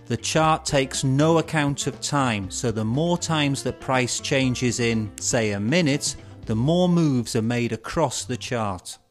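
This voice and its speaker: A middle-aged man presenting scripted instructional narration in a measured, explanatory way, posing rhetorical questions. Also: mid-range voice. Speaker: presenter of an educational film. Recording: music bed behind studio voice. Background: instrumental music.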